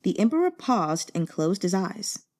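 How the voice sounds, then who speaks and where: A woman with a dark, soft-edged tone narrates fiction. Her delivery is measured and dramatic, with a very lively rise and fall across a middling register. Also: mid-range voice. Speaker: audiobook narrator. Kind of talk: expressive scripted narration of a novel.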